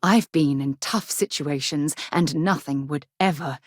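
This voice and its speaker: A seasoned former commander turned space explorer in a video game. Her voice sits slightly low and is recorded dry. She speaks earnestly and articulately, with warmth and firm principles. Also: measured pace, no room sound. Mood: stern. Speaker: game companion character. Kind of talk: video game voice acting, dramatic dialogue.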